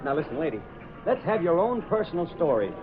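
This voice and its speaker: An actor playing a brash, pushy, confident newspaper reporter in old radio drama style, with slangy, bossy delivery. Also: male voice, a little high, big pitch swings, fast talker, music in the background. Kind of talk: 1930s-40s radio drama acting. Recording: old radio recording, muffled, noisy.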